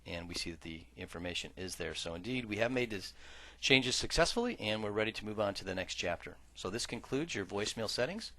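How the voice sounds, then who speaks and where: Deep-voiced man narrating a software tutorial, forceful. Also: expressive, a little fast, few pauses, no room sound. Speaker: software trainer. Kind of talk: instructional screen-share tutorial narration. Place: voice-over for a screen recording.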